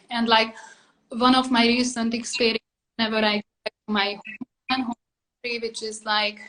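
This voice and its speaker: A woman's voice: on the high side, a bit bright, rough recording. A woman from Hungary with a Hungarian accent, talking casually about personal things in a rambling way, peppered with filler phrases. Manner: rambling, full of "like" and "you know".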